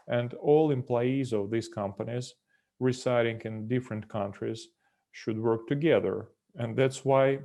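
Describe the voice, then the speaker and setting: A man with a Russian accent explaining as an expert guest, in a measured, formal and methodical manner. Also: medium pitch, warm tone. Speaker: senior lawyer, expert guest. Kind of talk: expert explanation in an online interview.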